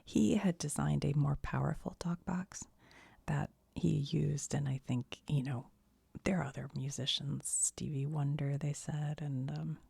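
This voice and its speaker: A woman giving a soft-spoken, mostly whispered ASMR ramble, breathy and conversational, tentative and often trailing off. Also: deep voice, steady tone, a little slow, no echo. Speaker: ASMR video host.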